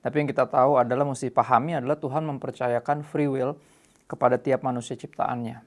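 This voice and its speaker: A man's voice: mid-range voice, steady tone, quick pace. An Indonesian man hosting a casual youth talk show, mixing English phrases into his speech. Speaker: host.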